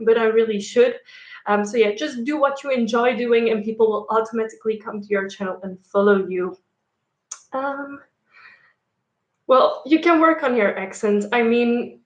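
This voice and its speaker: Chatty young woman, echoey room. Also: fairly high pitch. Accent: Dutch. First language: Dutch and French. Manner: chatty, frequent fillers and laughs. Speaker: YouTuber. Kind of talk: casual live stream chat.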